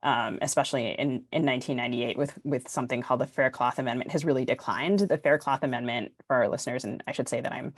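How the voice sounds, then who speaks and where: A woman's voice: a bass voice, fast, few pauses, no room sound, clean studio sound. An American woman co-hosting a podcast, talking informally and discursively as she thinks aloud. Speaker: podcast co-host. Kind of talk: casual panel discussion.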